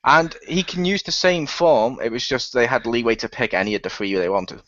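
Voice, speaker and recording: A man's voice: medium pitch, brisk, a bit bright, no echo, highs cut off. A man chatting casually and jokingly as a player and co-host on an amateur radio play.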